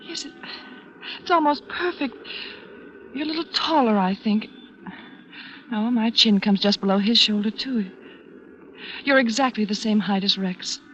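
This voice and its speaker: Troubled radio femme, muffled, noisy recording. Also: female voice, fairly high pitch, measured pace, long pauses, a bit bright. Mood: intrigued. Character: wealthy, troubled woman of extremes, elegant and confiding. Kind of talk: 1940s radio acting.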